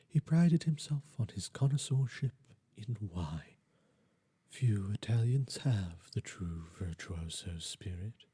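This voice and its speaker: Slow, low, husky man narrating. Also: warm tone. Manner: measured, deliberate, dropping to near whisper. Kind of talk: dramatic scripted audiobook narration of gothic horror. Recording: music behind the voice. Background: music.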